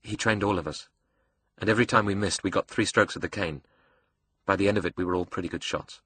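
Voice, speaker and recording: A man acts out a young male audiobook villain with a South African accent, bitter and cocky. His delivery is brash and sneering, with a forceful, husky edge and a cruel streak. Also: sits low, quick pace, dry recording, exaggerated voice. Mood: proud. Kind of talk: audiobook character dialogue.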